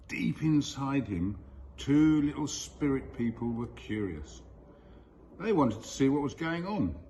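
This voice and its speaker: A man reading a children's story aloud in a deliberate, expressive way with storyteller pauses. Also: medium pitch, highs cut off, a little hiss or hum. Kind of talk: scripted storybook narration.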